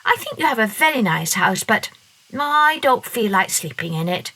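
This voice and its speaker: A woman's voice: medium pitch, very animated, normal speed, bright, forceful tone, voice pushed up, full character voice, rough recording. A woman voicing a stubborn, restless little girl in a storybook reading, with a whiny, squealing manner. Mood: eager.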